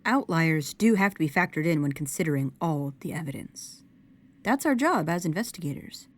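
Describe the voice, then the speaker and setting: Low, swooping, analytical female investigator by an actress. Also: lively intonation. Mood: reasoning. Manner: formal, technical, deliberate. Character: analytical, formal female investigator, measured and logical. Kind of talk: audio roleplay drama.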